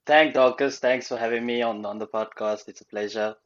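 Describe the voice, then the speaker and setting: A man's voice: mid-range voice, steady tone, a little fast. A young man from Sri Lanka, a recent graduate, speaking politely as a podcast guest and hesitating with many ums. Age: young adult. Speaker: alumnus guest. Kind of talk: casual conversation. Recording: dull lo-fi sound.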